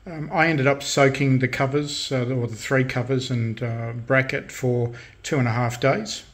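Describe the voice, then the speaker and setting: A man hosting a casual hobby restoration demonstration, speaking unscripted in a halting, matter-of-fact way. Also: mid-range voice, steady tone. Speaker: host of a hobby radio restoration channel. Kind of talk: casual hands-on demonstration talk. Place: indoors at a workbench in a room.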